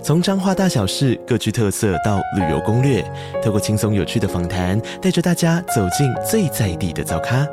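A man's voice: lowish voice, very animated, fairly quick, few pauses. A man with a Taiwanese Mandarin accent reading a scripted podcast advertisement as its host. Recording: loud guitar and piano music behind. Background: loud guitar and piano music.

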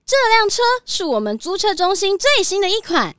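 A woman's voice: very high-pitched, very animated, normal speed, fairly bright tone, exaggerated voice. A woman playing a cheerful, helpful car rental clerk with upbeat sales patter in a children's audio drama.

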